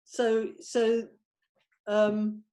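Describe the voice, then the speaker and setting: A woman's voice: mid-range voice, monotone, very slow, dark tone. Tentative woman talking through a script. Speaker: member of a script team. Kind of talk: informal script discussion.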